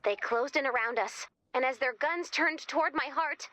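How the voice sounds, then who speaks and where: A woman's voice: sits high, moderate pace, sharp tone, no echo. A woman voicing a quirky, wisecracking young American guide character in a video game, with a chatty, theatrical manner. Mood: dramatic letter reading. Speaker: mission guide character addressing the player commander.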